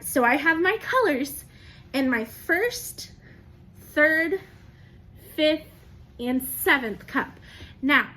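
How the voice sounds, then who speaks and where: A woman's voice: sits high, a bit bright. A woman teaches young children step by step, asking questions and encouraging them as she goes. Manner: encouraging, asks questions and praises listeners as if they answer; simple step-by-step explaining. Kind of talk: interactive children's lesson, talking to camera.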